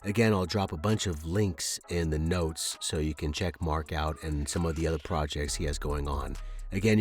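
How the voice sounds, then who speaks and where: A man's voice: low voice, darkish tone. A man hosting a podcast, speaking casually in an offhand, self-deprecating way as he signs off. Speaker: podcast host.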